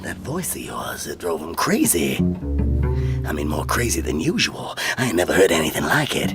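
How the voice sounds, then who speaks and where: Brash satyr talent hustler, very gravelly, hugely animated, wisecracking audio comedy delivery. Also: male voice, deep voice, moderate pace, loud music behind the voice. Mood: confiding. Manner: wisecracking slangy showbiz patter. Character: brash, raunchy satyr hustler, bossy and streetwise. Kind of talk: comic audio drama acting.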